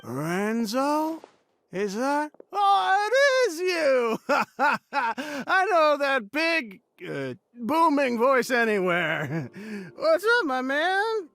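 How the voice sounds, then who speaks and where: An actor playing a boisterous, overfamiliar, tipsy man in a fantasy game, effusive and halting. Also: very high voice, sing-song, a bit bright, no room sound, heavily acted. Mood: delighted, jovial. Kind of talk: English-dubbed fantasy game dialogue.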